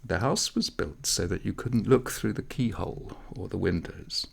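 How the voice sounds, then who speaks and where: A man reading a literary story aloud in an expressive narration style, with measured, dramatic phrasing. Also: lowish voice, creaky, breathy edge. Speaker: audiobook story reader.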